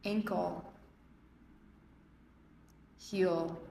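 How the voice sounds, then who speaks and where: Slow, low-voiced woman guiding meditation. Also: creaky. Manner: calm, measured, with long pauses between phrases. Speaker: yoga nidra instructor. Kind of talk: guided meditation, spoken instructions. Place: indoor urban yoga studio, recorded live. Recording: roomy echo, faint background noise, live studio. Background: faint ambient room and city noise.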